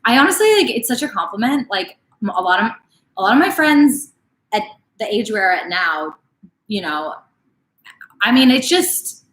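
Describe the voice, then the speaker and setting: A woman's voice: medium pitch, big pitch swings, moderate pace, long pauses, fairly bright tone. Chatty young woman, echoey room. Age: late 20s. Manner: casual, chatty, frequent "like" and "um" fillers. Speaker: fitness coach. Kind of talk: live Q&A answering viewer questions.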